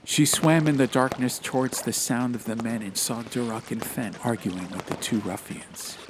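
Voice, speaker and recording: A man's voice: medium pitch, steady tone, normal speed, background noise. A man narrating a fantasy audiobook in a dramatic, literary style. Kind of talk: scripted fantasy story narration. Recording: music behind the voice.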